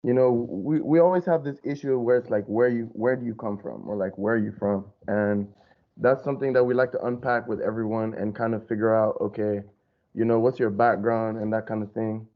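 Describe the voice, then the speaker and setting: A man's voice: on the low side, fairly even intonation, brisk. A man hosting a casual podcast, asking questions in a laid-back way full of fillers. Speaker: podcast host. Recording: dull lo-fi sound.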